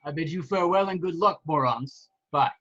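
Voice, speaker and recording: A man's voice: on the high side, brisk, bright, forceful tone, highs cut off. A man playing a noble, condescending warrior god with pompous, formal speech in an amateur film table read. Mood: breezy.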